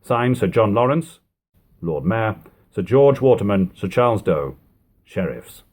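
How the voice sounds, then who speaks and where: A man reading an old book aloud in a measured, formal manner, in a lo-fi home recording. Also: fairly low pitch, very animated, darkish tone. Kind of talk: audiobook reading of an old text with brief informal asides.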